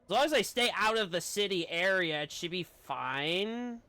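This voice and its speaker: A man's voice: sits high, animated, normal speed, bright, forceful tone, smooth, no echo. A man giving casual, offhand live commentary as he streams a game.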